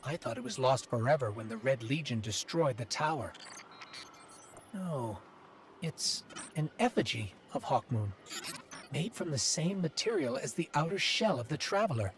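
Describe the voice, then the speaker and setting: An actor playing a studious, pensive expert in a video game, explaining in precise terms. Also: male voice, mid-range voice, animated, moderate pace, slight background noise, close to an everyday voice. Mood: intrigued. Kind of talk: cinematic video game dialogue.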